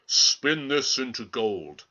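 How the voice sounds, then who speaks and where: A man's voice: mid-range voice, very animated, sharp tone, highs cut off, exaggerated voice. Man playing a greedy, commanding storybook king. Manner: imperious, deliberate.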